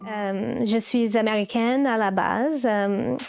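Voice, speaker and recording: Woman talking french, slow and halting, lots of ums, kinda high voice, faint music behind. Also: fairly even intonation, vocal fry. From United States (Baltimore, Maryland). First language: English. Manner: hesitant, many filler sounds and restarts. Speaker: puppeteer. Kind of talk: interview answers. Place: her puppet workshop.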